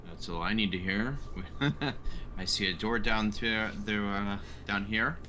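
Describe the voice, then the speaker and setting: A man's voice: on the low side, slow pace, pushed and bright, a little hiss or hum. A man playing a tabletop role-playing game, talking casually about his moves.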